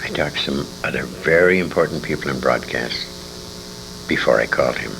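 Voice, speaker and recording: A man in his sixties telling stories in an interview, speaking emphatically and dramatically with pauses. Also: rumbling low, creaky. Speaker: interviewee, veteran radio actor. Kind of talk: conversational storytelling in an interview. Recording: hissy, humming 1980s amateur convention tape.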